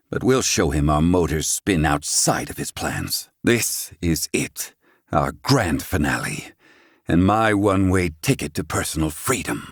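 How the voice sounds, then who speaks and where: A low, dry-recorded male voice for a brilliant, proud inventor in a fantasy video game. He is middle-aged and gruff. His diction is formal and clipped, with a haughty theatrical weight. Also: no echo, full character voice. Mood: resolute, brooding. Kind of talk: dramatic video game voice acting.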